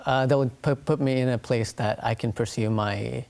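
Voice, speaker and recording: A man in his late teens from Nepal, giving measured, careful answers as a guest in a studio interview. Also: mid-range voice, fairly even intonation, vocal fry, a little mellow. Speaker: interview guest, school graduate.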